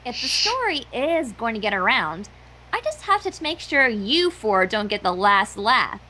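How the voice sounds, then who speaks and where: A woman's voice: on the high side, big pitch swings, unhurried, pushed and bright, heavily acted, low-quality recording. A woman voicing a prim, motherly young unicorn pony with a politely scolding manner in a fan fiction reading. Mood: sternly scheming. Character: motherly young adult female unicorn pony, prim, embarrassed, mock-villainous.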